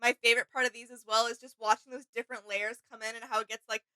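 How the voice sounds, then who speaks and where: A woman's voice: on the high side, flat delivery, rapid-fire, sharp tone. A woman co-hosting casual reaction commentary, speaking in an agreeable, appreciative way. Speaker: reaction video co-host. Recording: faint music behind. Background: music.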